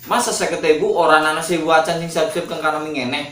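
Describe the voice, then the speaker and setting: A man's voice: fairly high pitch, fairly even intonation, fast talker, fairly bright tone. Male guest, chatty explainer, echoey room, distant mic. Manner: explains at length, hesitant fillers. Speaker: guest filmmaker. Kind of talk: casual chat in Indonesian with Javanese phrases. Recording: echoey room, far from the mic, faint noise.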